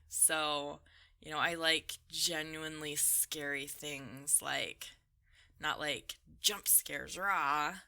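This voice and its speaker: A woman with a very bright, slightly low voice talks casually as a gaming vlogger. She takes her time, speaking a little slowly as she rambles informally through a list of questions in a giggly manner. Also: vocal fry. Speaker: video game vlogger. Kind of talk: casual chat, answering a list of questions over gameplay.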